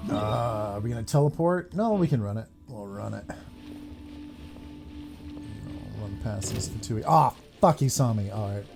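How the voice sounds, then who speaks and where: A man's voice: fairly low pitch, lively intonation, measured pace, creaky, over music. A man playing a video game and commenting casually, swearing and muttering asides to himself.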